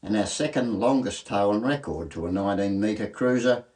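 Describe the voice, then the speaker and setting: A man's voice: lowish voice, expressive, normal speed, darkish tone. An Australian man reading a scripted rescue report as a coast guard narrator. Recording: clearly noisy voice-over track.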